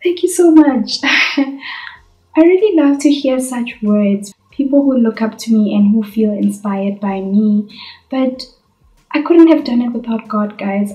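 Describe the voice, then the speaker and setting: A young South African woman talks straight to the camera in an earnest, chatty way, answering viewer questions. Her voice is mellow and soft-edged. The bedroom gives it a noticeable echo. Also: mid-range voice, dull audio. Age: 20s. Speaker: YouTuber. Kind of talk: casual vlog Q&A. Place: bedroom, indoors. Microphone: camera on tripod in front. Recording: echoey bedroom.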